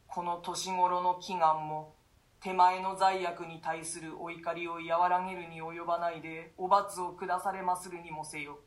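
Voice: medium pitch, natural voice. Someone playing a jailed duke, scared, old-timey solemn talk, echoey room. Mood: pleading. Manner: archaic, solemn storytelling with fearful gasps. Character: imprisoned duke haunted by a nightmare, male, grave. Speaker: voice actor in a radio reading. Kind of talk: classic stage play read as radio drama.

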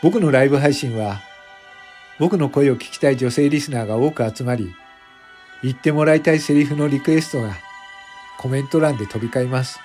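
A self-conscious factory worker who became a popular voice streamer , acted by a man confides his story in an audio drama monologue. The voice is mid-pitched, with faint music underneath. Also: fairly quick, darkish tone, close to an everyday voice. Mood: confiding, modestly proud. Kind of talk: confessional first-person radio drama monologue. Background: background music.

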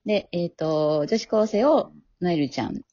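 A woman's voice: on the low side, normal speed, warm tone. A woman casually introducing things as a member and writer of an amateur drama show. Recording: dull remote recording from home.

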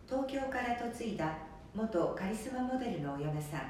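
A woman's voice: a little high, lively intonation, normal speed, darkish tone. A woman reading scripted narration as the narrator of an amateur radio drama. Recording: very echoey, distant, faint noise.

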